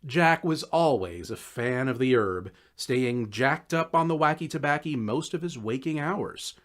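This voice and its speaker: A man reading a scripted first-person story in a wry, conversational, expressive way. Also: medium pitch, unhurried. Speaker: audiobook narrator.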